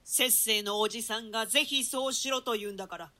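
Young heir to the throne for radio Shakespeare, woman actor: bright, raised pitch, formal bearing with a melancholy streak. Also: fast talker. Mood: earnest, uneasy. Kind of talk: theatrical Shakespeare reading in archaic Japanese translation.

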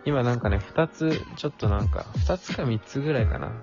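A man's voice: mid-range voice, lively intonation, a little fast. A man co-hosting an online radio talk show in a casual two-person chat. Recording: dull lo-fi audio under clear background music. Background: background music.

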